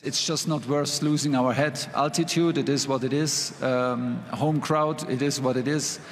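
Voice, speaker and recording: A man's voice: mid-range voice, steady tone, unhurried. A man speaks with determination in a press interview, stumbling now and then as he looks for words. The sound rings with a long reverb and faint background noise. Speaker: football player or coach.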